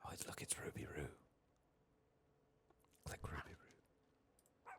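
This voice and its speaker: A man quietly narrating a game playthrough in soft-spoken ASMR style, murmuring to himself and often dropping toward a whisper. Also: takes it slowly. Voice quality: soft, hushed, breathy in whispers. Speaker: gaming streamer.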